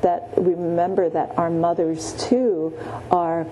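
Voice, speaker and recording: Woman meditation teacher, slow and gentle, dark mellow tone, faint background hiss. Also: lowish voice, little pitch movement, low-quality recording. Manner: gentle, many pauses and restarts, frequent "yeah". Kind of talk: guided Buddhist meditation teaching. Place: meditation room.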